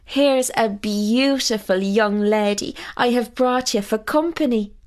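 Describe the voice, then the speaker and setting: A woman's voice: fairly high pitch, slow pace. A woman voicing an earnest young country lad, speaking eagerly.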